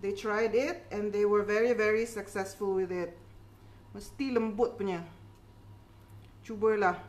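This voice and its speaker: A woman's voice: mid-range voice, fairly even intonation, measured pace, darkish tone. A middle-aged woman from the Philippines, hosting a casual cooking demonstration in a chatty, reassuring way with frequent little check-in tags. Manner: encouraging, chatty, reassuring, with tag questions like okay. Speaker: home baking instructor and cooking show host. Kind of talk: casual cooking demonstration, code-switching chat.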